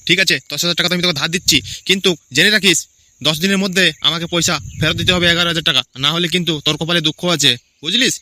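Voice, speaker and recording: A man's voice: on the high side, fairly even intonation, rapid-fire, bright, forceful tone. A man voicing a bullying, crooked village chief and moneylender in a cartoon, crude and threatening. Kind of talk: Bengali cartoon voice acting.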